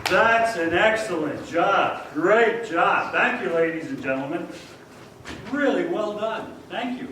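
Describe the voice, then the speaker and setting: A man's voice: high-pitched, expressive, takes it slowly. A man playing an upbeat, theatrical 1940s radio show announcer, welcoming a live audience as host. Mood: coaxing, upbeat. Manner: theatrical, showy, addressing the audience. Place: stage of a performing arts hall. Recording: echoey hall from far off, faint noise.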